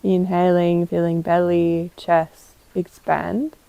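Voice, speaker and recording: A woman guiding a meditation as a yoga teacher, calm and gentle, giving unhurried instructions with pauses. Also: lowish voice, gravelly, no echo, highs cut off. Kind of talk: guided meditation.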